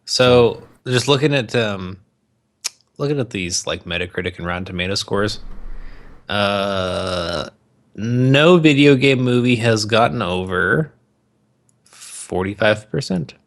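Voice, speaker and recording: A man's voice: on the low side, animated, takes it slowly. A man co-hosting a podcast in casual, crude banter about movies, with plenty of swearing. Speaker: podcast co-host.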